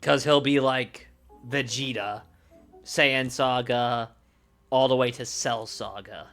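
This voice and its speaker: A man livestreaming casually, thinking aloud in a halting way and often trailing off mid-thought. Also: mid-range voice, fairly even intonation, a bit bright, soft background music. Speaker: streamer.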